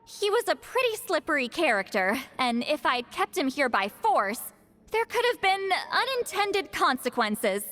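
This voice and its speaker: High-voiced, sassy young spirit seer. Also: female voice, unhurried, full character voice. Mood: confident, explaining. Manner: brash, teasing, expressive. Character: sassy, proud young female spirit seer, secretly flustered. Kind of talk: animated video game cutscene acting. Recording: long echoing reverb, music underneath.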